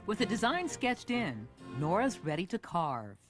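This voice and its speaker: A documentary narrator reads a scripted voiceover in a smooth, descriptive manner. The voice rises and falls a great deal, with music underneath. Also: mid-range voice, sing-song, measured pace. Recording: narration played over a video with music.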